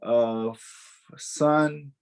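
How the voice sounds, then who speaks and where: A man's voice: mid-range voice, fairly even intonation, extremely slow, smooth. Earnest young man from Haiti. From born in Haiti, raised in the United States. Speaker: guest giving testimony. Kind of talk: personal spiritual testimony.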